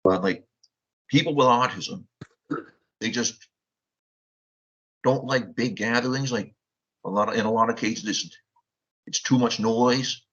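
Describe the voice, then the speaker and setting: Husky man on lo-fi audio. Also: mid-range voice, fairly bright tone. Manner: measured, explanatory. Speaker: panelist. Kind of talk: panel discussion. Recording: dull lo-fi audio.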